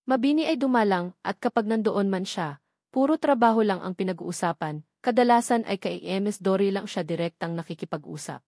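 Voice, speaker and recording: A woman's voice: mid-range voice, darkish tone, no echo, studio quality. A woman with a Filipino accent reads a scripted romantic drama story as its narrator.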